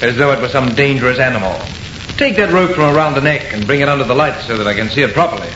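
A noisy, echoey vintage radio recording carries a man playing an assured, enthusiastic artist. His middle-pitched voice is brisk and theatrical, scoffing at any fear as in a horror serial. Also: natural voice. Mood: brisk, impatient. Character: confident, scoffing male artist, enthusiastic and unafraid. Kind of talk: old-time radio horror acting. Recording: muffled, echoey old radio recording, heavy noise.

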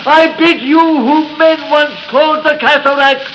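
A solemn devout exorcist. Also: male voice, extremely high pitch, steady tone, a little slow, a bit bright, slight background noise. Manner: solemn, declaiming prayers. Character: devout man battling a devil with prayer. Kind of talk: 1930s radio acting. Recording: muffled echoey old radio recording.

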